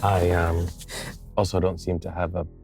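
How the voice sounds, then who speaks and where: A man playing a tabletop game, giving quick, bantering replies in character. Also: sits low, flat delivery, darkish tone, faint music behind. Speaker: player.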